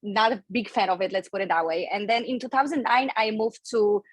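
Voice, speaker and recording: A woman's voice: mid-range voice, fairly even intonation, fairly quick, dry recording. Candid Polish-accented woman in her thirties. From Poland. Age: 30s. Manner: chatty, candid, laughs between phrases. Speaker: guest, online fitness coach. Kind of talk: podcast interview storytelling.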